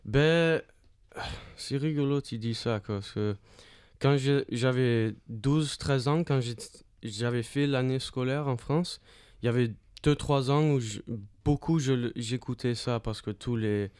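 A man from Australia chatting casually as an interview guest in a studio, speaking halting French and searching for words. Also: medium pitch, a little mellow, smooth, no echo. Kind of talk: casual chat.

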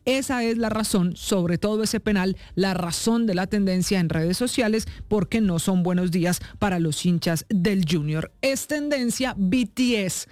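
A Colombian woman co-hosts a morning news show, running through the day's trends at a brisk clip. Her delivery is conversational and quick. The studio sound is dry and close. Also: mid-range voice, a little mellow, no echo. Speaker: news co-host presenting trends.

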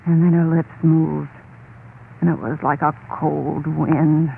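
An actress playing a haunted, unhinged wife confessing to murder in an old radio horror play, intense and halting, insistently repeating herself. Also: female voice, low voice, flat delivery, creaky, heavily acted. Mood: dread. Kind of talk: old-time radio horror monologue, dramatic first-person narration. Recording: tinny, band-limited old radio recording, faint noise.

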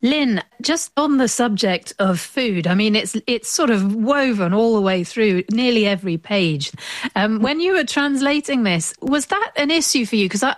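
A woman's voice: on the high side, no room sound. A woman presenter hosting a book interview, asking conversational questions with small restarts. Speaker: radio host.

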